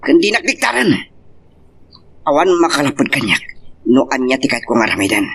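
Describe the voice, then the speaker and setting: A man's voice: mid-range voice, sing-song, a little fast, creaky, a bit bright, slightly rough, heavily acted. A man with an Ilocano accent acting a brazen rival who makes threats in a romance audio drama. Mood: defiant, threatening.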